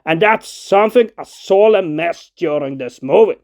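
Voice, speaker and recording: A man's voice: sits high, animated, moderate pace, a little mellow. A man narrating a scripted film review as a video essay, argumentative and opinionated, in formal, slightly unidiomatic phrasing.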